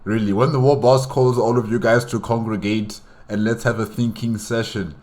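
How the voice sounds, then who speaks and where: A man's voice: on the low side, steady tone, normal speed, a little mellow, a little hiss or hum. A man reacting off the cuff in casual commentary, repeating phrases for emphasis. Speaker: reaction video host.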